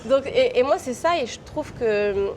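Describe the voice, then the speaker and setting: A young French woman with a French accent, chatting as an interview guest and telling stories in a lively, talkative way. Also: sits high, a little slow, crowd noise. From France. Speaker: guest, real estate broker. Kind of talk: casual interview chat.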